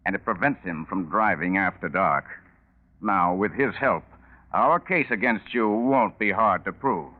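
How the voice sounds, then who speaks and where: Shrewd low-voiced radio police lieutenant. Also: male voice, measured pace. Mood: confident, accusing. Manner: probing, sardonic, controlled. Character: shrewd, dogged male police lieutenant grilling a crook. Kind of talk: 1940s radio crime drama acting. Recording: tinny, narrow-band 1940s radio recording.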